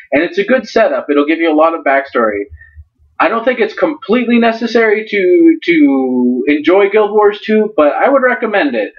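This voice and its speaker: A man giving a casual, halting book review as the presenter. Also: on the high side. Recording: dull lo-fi recording.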